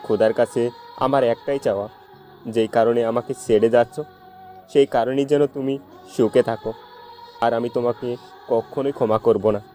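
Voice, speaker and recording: A dark, mellow male voice a man acting plays a jilted, heartbroken young lover. The lines are melodramatic love-story dialogue over a faint music bed. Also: medium pitch, steady tone, moderate pace, long pauses, close to an everyday voice. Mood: heartbroken, bitter. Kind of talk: amateur audio love-story drama.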